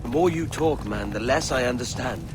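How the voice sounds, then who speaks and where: An actor playing a roguish pirate captain in a video game, rough-spoken, blunt and impatient. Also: male voice, medium pitch, normal speed, background noise, lightly acted. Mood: sceptical. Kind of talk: video game cutscene acting.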